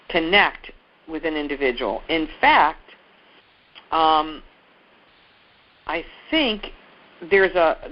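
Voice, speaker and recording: A man giving an informal instructional talk as a sales trainer, explaining things step by step in a conversational way and repeating key points. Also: high-pitched. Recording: dull, lo-fi audio.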